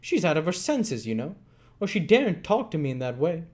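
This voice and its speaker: A man reading aloud and voicing a brutal grown male robber, loud, gruff and threatening, his lines pitched up from his own voice and full of swinging inflection. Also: very animated, a little fast. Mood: angry. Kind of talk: dramatic read-aloud.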